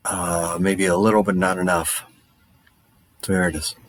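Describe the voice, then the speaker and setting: An actor playing a hesitant, awkward podcaster in a naturalistic audio drama, halting and stammering in a low-key, casual way. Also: male voice, little pitch movement, no echo, close to an everyday voice. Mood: hesitant, resigned. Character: hesitant, awkward male podcaster enduring a zombie outbreak.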